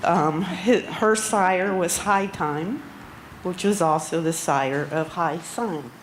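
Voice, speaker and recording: A woman giving a memorial tribute at a gathering, speaking haltingly with frequent pauses and ums. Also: lowish voice, a little hiss or hum. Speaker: eulogy speaker. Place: outdoor barn gathering.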